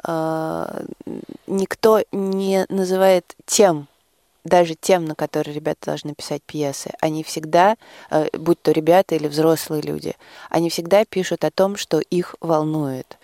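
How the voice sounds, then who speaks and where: A woman speaks in a fairly low voice as a guest in a radio interview. Her phrasing is thoughtful and hesitant, with frequent pauses. The recording is close and dry. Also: steady tone, warm tone, no room sound. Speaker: interview guest, drama project representative. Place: radio studio.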